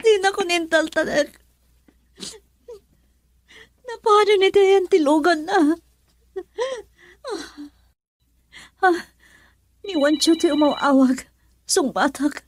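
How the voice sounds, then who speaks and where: A woman's voice: extremely high pitch, moderate pace, no room sound. A woman playing a distressed young wife in a melodramatic radio drama, fearful, tearful and pleading. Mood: upset.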